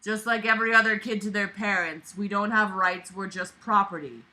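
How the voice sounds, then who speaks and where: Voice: mid-range voice, normal speed, bright, forceful tone, rough recording. A reader going through a book aloud with sarcastic running commentary, exasperated, blunt and prone to swearing.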